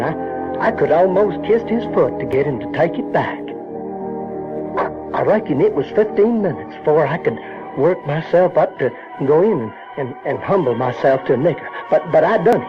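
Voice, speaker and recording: An actor playing an uneducated, conscience-troubled Southern boy who narrates an audio drama in a halting, rustic way. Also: on the high side. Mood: remorseful. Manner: halting, repeats words, rustic grammar. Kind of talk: folksy first-person audio drama narration. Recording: dull vintage radio-drama recording, music behind.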